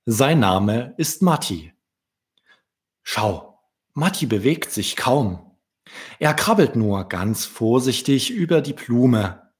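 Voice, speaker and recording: A man in his thirties reading a story aloud to young children, gently addressing the listener directly. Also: mid-range voice, very animated, slow. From Germany. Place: small room with wood-panelled wall. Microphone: desk microphone with pop filter, close.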